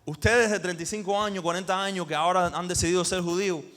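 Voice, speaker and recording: A man's voice: sits high, fairly even intonation, fairly bright tone. Impassioned preacher, man. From Cuba. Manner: impassioned preaching, emphatic swells and pauses. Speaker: pastor. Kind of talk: sermon.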